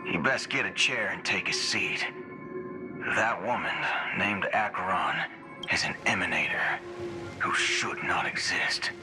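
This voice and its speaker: An actor playing a cocky, drawling gunslinger bounty hunter in a video game, mocking and menacing, with theatrical swagger. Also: male voice, low voice, a little slow, music in the background. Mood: grave, knowing. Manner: swaggering, theatrical, drawling Western turns of phrase.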